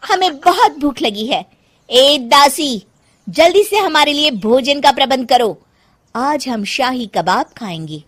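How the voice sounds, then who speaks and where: A woman's voice: sits high, animated, slow pace, background noise. A woman voicing a spoiled young wife who thinks she is a royal princess, giving orders in an imperious, haughty manner for a cartoon story. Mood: bossy. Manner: commanding, imperious, uses the royal we. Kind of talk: Hindi cartoon story voice acting.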